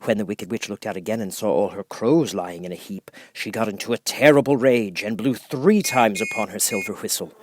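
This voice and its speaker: A man reading a children's audiobook as narrator, with expressive, dramatic storytelling. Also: fairly low pitch, brisk, hardly any pauses.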